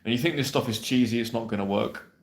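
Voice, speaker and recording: A man's voice: medium pitch, quick pace. A man coaching viewers on dating in a blunt, brash, emphatic way, swearing freely and checking in with "yeah?". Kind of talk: direct-to-camera coaching monologue. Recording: roomy echo.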